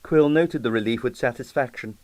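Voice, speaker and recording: A man's voice: medium pitch, brisk, darkish tone, no echo. A man reading a comic mystery story as a scripted, dramatic audiobook narration.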